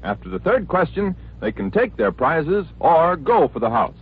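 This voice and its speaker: An American man announces in scripted 1940s radio style. His voice is fairly high and swings widely in pitch. The sound is thin and band-limited, like an old broadcast. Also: big pitch swings, normal speed, breathy edge. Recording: tinny, noisy 1940s radio recording.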